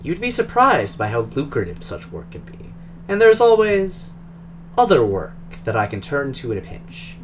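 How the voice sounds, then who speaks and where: A man voicing a polished, guarded Russian drone engineer who talks in a formal, measured, precise way, with a Russian accent. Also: mid-range voice, huge pitch swings, a little hiss or hum.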